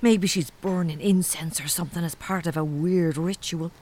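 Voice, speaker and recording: A woman's voice: lowish voice, steady tone, normal speed, mellow, natural voice. An actress playing a jumpy, blunt young Irish woman in a comedy audio drama. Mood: nervous. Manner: nervy, blunt, salty. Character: jumpy, plain-spoken young Irish woman, easily spooked.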